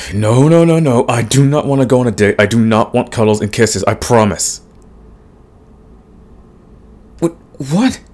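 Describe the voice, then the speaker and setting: A man playing a big, grumpy but soft himbo demon in a romantic comedy audio roleplay, husky and stammering in protest. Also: mid-range voice, expressive, moderate pace, lightly acted. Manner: grumbling, sighing, stammering protests. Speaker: voice actor.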